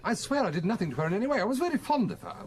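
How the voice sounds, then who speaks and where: An actor playing a defensive young secretary under suspicion in an audio drama, curt, uneasy and evasive. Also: male voice, sits high, very animated, very fast. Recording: busy inn chatter behind.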